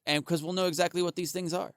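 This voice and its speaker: A man's voice: high voice, level tone, fast talker, no room sound, studio quality. A man co-hosting a comedy podcast, riffing in casual banter and laughing often. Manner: joking, laughs often, goofy riffs. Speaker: podcast co-host.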